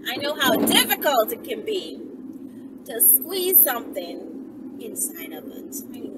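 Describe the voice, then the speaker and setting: Casting: female storytime vlogger, very lively swooping delivery, slangy and sweary, recorded at a distance in a noisy car. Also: fairly high pitch, unhurried. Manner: animated, slangy and profane, with frequent tag questions. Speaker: vlogger telling stories to followers. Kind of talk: casual rambling storytime chat to camera. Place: inside a car while driving.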